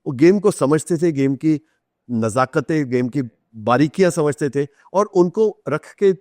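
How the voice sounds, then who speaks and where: A man's voice: on the high side, lively intonation, measured pace, darkish tone, no echo. Indian man telling anecdotes in dry, close sound. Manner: anecdotal, explanatory, mixes in English phrases. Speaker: interview guest, author. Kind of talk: conversational storytelling in a podcast interview.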